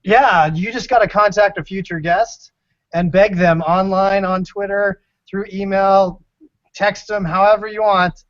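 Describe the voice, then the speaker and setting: High-pitched American man, playful co-host. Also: steady tone, unhurried, no echo. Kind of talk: playful quiz banter. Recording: dull, dry band-limited sound.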